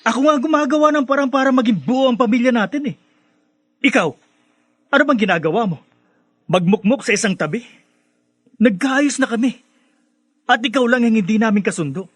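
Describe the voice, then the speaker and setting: An actor with a Filipino accent playing an easygoing, teasing, self-assured older brother in a radio drama. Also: male voice, very high-pitched, a little fast, long pauses, fairly bright tone, no echo, studio quality. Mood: mocking, defensive.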